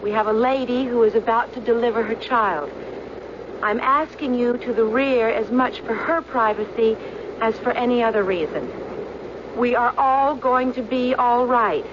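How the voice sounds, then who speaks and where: An actress plays a calm stewardess taking command in a radio drama emergency. Her voice is a little high and firm. The sound is muffled, with a clear cabin drone behind her. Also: normal speed, close to an everyday voice. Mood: firm, reassuring. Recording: dull, noisy old radio drama recording. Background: aircraft cabin drone.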